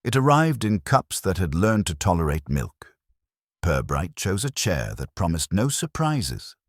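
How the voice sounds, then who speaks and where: A man reading a scripted literary mystery story as narrator, in a measured, dryly wry manner. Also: sits low, very animated, no echo, clean studio sound.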